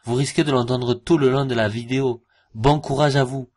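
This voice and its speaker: A man's voice: medium pitch, fairly even intonation, normal speed, a little mellow. A man hosting an informal cartoon review, with a jokey, mocking and slangy way of talking. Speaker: video host. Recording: voice-over with music behind. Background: music.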